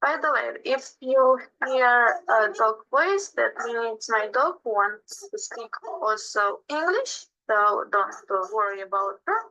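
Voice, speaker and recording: A young woman whose first language is Romanian, telling her story of learning English at a webinar in earnest, slightly halting English. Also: fairly high pitch, animated, pushed and bright, poor audio. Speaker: webinar speaker. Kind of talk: personal testimony read aloud.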